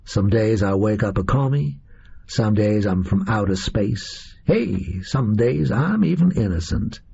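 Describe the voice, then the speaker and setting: Brash schemer, performed by a male reader, breezy storybook villain, gravelly, slightly muffled recording. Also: fairly low pitch, lively intonation, measured pace, warm tone, full character voice. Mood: cocky. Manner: breezy, slangy, chatty. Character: brash American man from fiction, gleeful cocky schemer.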